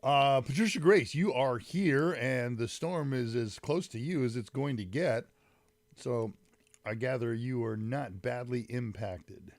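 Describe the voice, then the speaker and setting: A man running a loose, friendly tech livestream, greeting viewers and wandering between topics. A summer cold leaves his voice slightly deep and congested. The sound is dry, with no room to it. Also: a little slow, no room sound. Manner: rambling, greets chat viewers by name, frequent fillers. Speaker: livestream host. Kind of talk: casual live-stream chat with viewers. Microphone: mic set some distance away.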